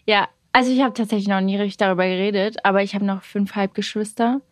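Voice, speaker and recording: A woman's voice: medium pitch, fairly even intonation, fast, dry recording. Young swiss-accented woman gushing about her music, laughs a lot, dead dry mic. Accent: Swiss German. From Portugal, raised; moved to Switzerland. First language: Swiss German. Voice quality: bubbly, laughs often, many fillers. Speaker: singer guest. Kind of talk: casual interview chat.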